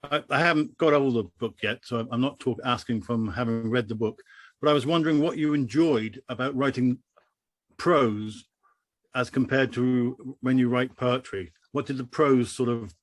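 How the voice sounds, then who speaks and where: A man's voice: mid-range voice, normal speed, no room sound. A man in an audience asking a casual, slightly tentative question.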